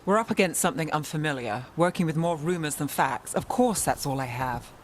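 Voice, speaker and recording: An actress playing a composed, analytical woman detective in a fantasy game, measured and wry. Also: low-pitched, expressive, a little hiss or hum. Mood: cool, challenging. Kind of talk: fantasy video game voice acting.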